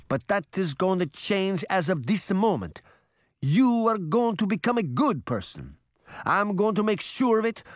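A man playing a stern, indignant old father in a storybook, scolding forcefully. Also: high-pitched, no room sound, studio quality, voice pushed up. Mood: stern anger.

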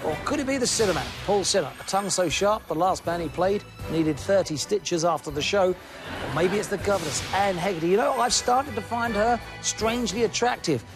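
A man's voice: high-pitched, a little fast, music in the background. A man hosting a TV quiz show, presenting with upbeat, jokey banter. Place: TV studio.

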